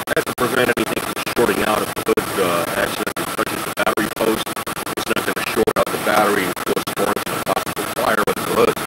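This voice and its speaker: An older man, congested from a head cold, hosting a chatty car-maintenance livestream and talking with many pauses and restarts. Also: medium pitch. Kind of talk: casual livestream chat with viewers. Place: home garage or driveway beside a running car. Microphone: phone camera. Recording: loud engine noise behind.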